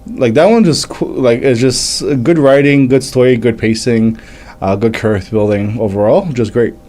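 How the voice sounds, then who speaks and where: A man in his thirties whose first language is French, hosting a casual live manga reading and chat, halting and stumbling as he reads aloud. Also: mid-range voice, darkish tone. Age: 30s. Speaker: livestream host, manga reviewer.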